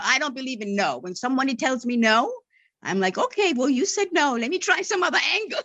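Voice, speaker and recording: A woman's voice: medium pitch, a bit bright, no echo. A woman guest who stutters, explaining things patiently with many repeated words and tag questions. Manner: stutters, repeats words, says "right?" often. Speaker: marketing and media relations director, podcast guest. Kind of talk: interview answers.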